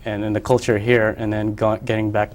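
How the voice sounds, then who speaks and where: A man's voice: fairly low pitch, little pitch movement, fairly quick. A young man from the Philippines, a painter being interviewed on a local cable show, who talks in a hesitant way full of fillers and restarts. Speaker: artist guest. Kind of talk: casual interview.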